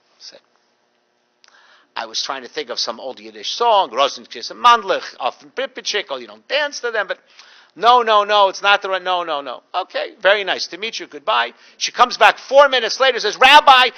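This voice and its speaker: A middle-aged man gives a public talk as a rabbi, telling anecdotes with deadpan humor and little voices for the people in them. His voice is bright, with a high, lively pitch. The recording is dull and lo-fi. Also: moderate pace. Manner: animated, mimics voices in anecdotes. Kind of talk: storytelling lecture with dry humor.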